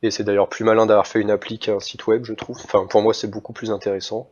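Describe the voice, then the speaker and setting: A man with a lowish voice hosts an interview in a casual, chatty way, leaning on loose fillers. The recording sounds dull and lo-fi. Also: flat delivery, fast talker, a little mellow. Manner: chatty, informal fillers like "bah" and "quoi". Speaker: host.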